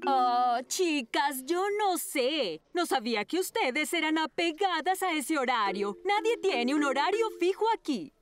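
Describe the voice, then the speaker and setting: Breezy cartoon teacher lady, high and expressive, bit of music behind. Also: measured pace, few pauses. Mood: flustered. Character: breezy female schoolteacher, easily flustered. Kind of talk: cartoon dubbing.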